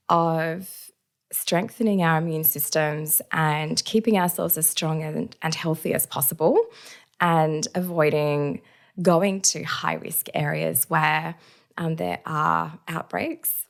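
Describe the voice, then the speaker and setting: A woman with a raspy, hoarse voice from a recent illness, talking reflectively as a podcast guest with many soft fillers. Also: low-pitched, slow pace. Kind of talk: casual interview chat.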